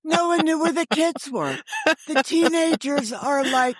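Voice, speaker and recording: An American woman in her sixties telling stories conversationally as an interview guest, animated and emphatic, jumping between thoughts. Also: high-pitched, unhurried, no echo. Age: 60s. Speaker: guest, author and audio drama creator.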